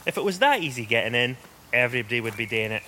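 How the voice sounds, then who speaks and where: A man's voice: mid-range voice, very animated, fast, pushed and bright. A man acting a cocky, mocking young stoner with a Scottish accent in a radio horror drama. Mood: cocky banter. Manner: brash, mocking. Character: cocky young male stoner egging on camping friends. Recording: noisy ambient background.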